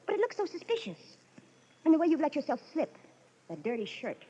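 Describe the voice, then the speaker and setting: A woman's voice: high-pitched, very animated, brisk, mellow. A woman playing a scornful, ambitious, discontented wife in a mid-century radio melodrama, haughty and emphatic. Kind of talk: 1940s-50s radio drama acting. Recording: muffled vintage radio recording with music.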